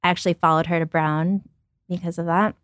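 A woman's voice: lowish voice, flat delivery, a little fast, fairly bright tone, no echo, clean studio sound. A woman in her thirties, originally from Ukraine and raised in Brooklyn, talking candidly as a podcast guest, often restarting her sentences. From born in Ukraine, raised in Brooklyn, New York. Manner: reflective, frequent restarts, light laughs. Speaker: guest, company founder.

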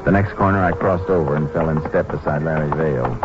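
Low-voiced laconic Texas Ranger. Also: male voice. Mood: steady narration. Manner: laconic, unhurried, folksy. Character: tough, calm male Texas Ranger posing as a drifter. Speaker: lead actor and narrator. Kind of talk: 1950s western radio drama acting and narration. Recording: muffled old-time radio recording with music.

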